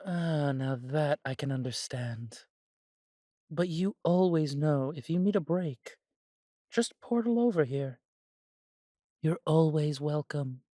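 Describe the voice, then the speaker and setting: Measured, close-sounding man voicing a humble elf brother. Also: fairly high pitch, lively intonation, mellow, no room sound. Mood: warm, reassuring. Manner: measured, slightly formal phrasing with sighs and pauses. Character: warm, humble elf brother without magic, devoted to family. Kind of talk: scripted fantasy audio roleplay.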